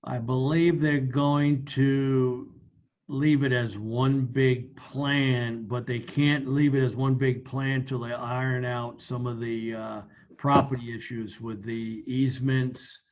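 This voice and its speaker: Male committee member, American, medium pitch. Explains plainly and haltingly, informal meeting register. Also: flat delivery, darkish tone, highs cut off. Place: remote video meeting.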